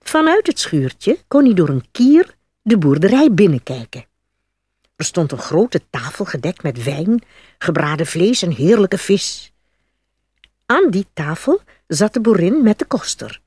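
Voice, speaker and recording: Husky, animated woman storyteller. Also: fairly low pitch, a little slow, no room sound. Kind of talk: scripted fairy tale narration.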